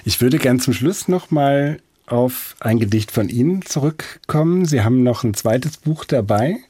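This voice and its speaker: A man's voice: medium pitch, animated, measured pace, mellow, husky, dry recording. A man working as interviewer and host of a cultural radio programme, speaking in a studio.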